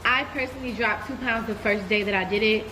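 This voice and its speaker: Woman vlogger, congested with a cold, candid and relaxed, slightly high voice, faint hiss behind. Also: fairly quick. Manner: relaxed, candid, addresses viewers as y'all. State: stuffy from a cold. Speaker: vlogger giving a life update. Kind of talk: casual chat to camera.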